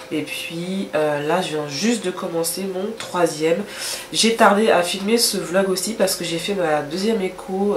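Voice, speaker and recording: A woman's voice: sits low, echoey room, a little hiss or hum. A woman with a stuffy, nasal voice from a cold, chatting casually to camera in a rambling way with many hesitations. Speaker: vlogger.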